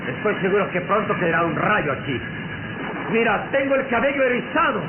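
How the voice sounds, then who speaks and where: A tinny, echoing old radio recording carries a heroic adventurer. His voice is very high for a man, dramatic and commanding, the urgent delivery of a classic serial hero. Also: big pitch swings, fairly quick, noisy background. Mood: tense, resolute. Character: Kalimán, heroic adult adventurer bracing for danger. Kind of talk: old Latin American radio serial acting.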